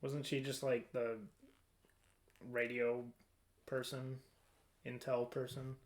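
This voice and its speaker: A man's voice: medium pitch, monotone, slow pace. A man hosting a casual podcast discussion, conversational and a little hesitant, often trailing off. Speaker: podcast host.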